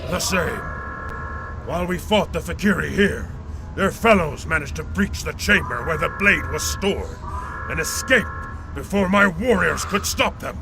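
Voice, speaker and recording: An actor playing a legendary alien warrior emperor in a video game, proud and vengeful, speaking in a solemn, grandly declamatory way. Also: male voice, a little high, expressive, measured pace, vocal fry, rough recording. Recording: music behind the voice.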